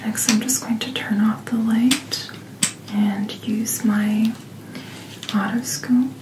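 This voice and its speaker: A woman speaking in a soft, breathy whisper, gently and reassuringly playing a doctor in an ASMR roleplay, close to the microphone. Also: a little high, little pitch movement, takes it slowly, noisy background. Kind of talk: soft-spoken ASMR medical checkup roleplay.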